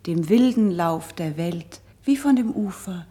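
A very breathy, gentle princess. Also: female voice, on the low side, animated. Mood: consoling. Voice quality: breathy, husky with some creak. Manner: soft, measured, elevated delivery. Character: gentle, wistful princess, noble and consoling. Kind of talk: classical German verse radio drama acting.

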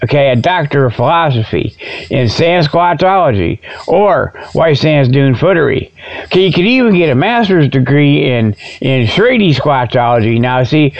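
Folksy gravelly man, rambling, lo-fi sound. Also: a little high. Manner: folksy, informal, repeatedly tags phrases with "okay". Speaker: host. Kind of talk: rambling comic radio monologue. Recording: dull lo-fi radio sound.

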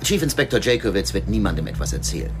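A man's voice: on the low side, sing-song, quick pace. An actor playing an efficient police subordinate, polite and businesslike as he reports in a radio crime drama. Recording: music behind the voice.

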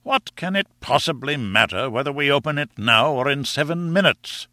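Man acting a scornful, sharp-voiced old professor. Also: medium pitch, animated, moderate pace, dry recording, clean studio sound, pitched above the performer's own voice. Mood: bitter, scornful. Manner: scornful, formal, indignant. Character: acid, bitter, sceptical elderly male professor.

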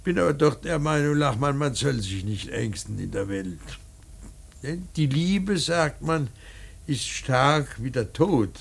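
A man playing a grieving, bitter old painter in a radio drama, speaking in a halting, emphatic, theatrical monologue with a rough, worn voice. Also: mid-range voice, animated, exaggerated voice. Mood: grave, philosophical. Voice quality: husky, gravelly. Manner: halting, emphatic, swelling from murmurs to outcries. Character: grieving old painter mourning his dead son, bitter, rambling.

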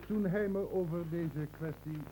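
An actor playing a brisk, sceptical public health medical inspector in a radio play. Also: male voice, fairly high pitch, natural voice. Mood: formal dictation. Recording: tinny, noisy old radio play recording.

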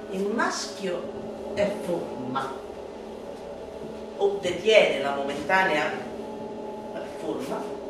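A woman performing a satirical theatrical monologue in a declamatory, sardonic manner that swings between hushed and shouted delivery. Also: medium pitch. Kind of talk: theatrical radio recitation of a satirical pamphlet. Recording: echoey hall, distant mic, noisy.

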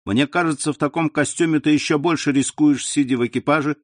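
A man's voice: fairly high pitch, quick pace, dry recording, studio quality, pitched above the performer's own voice, close to an everyday voice. A man reading an audiobook, voicing a sullen young male swindler who speaks in a clipped, grumbling way. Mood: wary.

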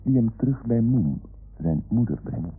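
Narrator: man, measured and literary, strongly modulated delivery over music, narrow vintage radio sound. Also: on the low side, sing-song, noisy background. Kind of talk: poetic scripted introduction. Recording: tinny narrow-band old radio broadcast.

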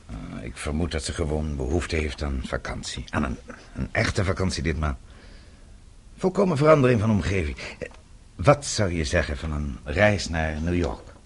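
An actor in a radio drama playing an authoritative middle-aged father-in-law, measured, paternal and formal, with a husky voice. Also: rumbling low, very animated, heavily acted. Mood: composed, advising. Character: authoritative, unruffled father-in-law advising a younger man.

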